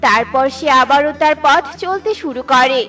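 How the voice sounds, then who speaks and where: High-voiced woman narrating a children's cartoon. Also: lively intonation, moderate pace, pushed and bright, faint music behind. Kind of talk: scripted children's story narration.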